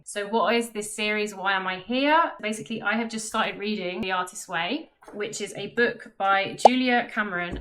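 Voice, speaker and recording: A woman's voice: medium pitch, a bit bright. Chatty young woman vlogging. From London, England. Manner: self-conscious, chatty, many fillers. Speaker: vlogger and web developer. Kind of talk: casual talk to camera. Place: bedroom at home.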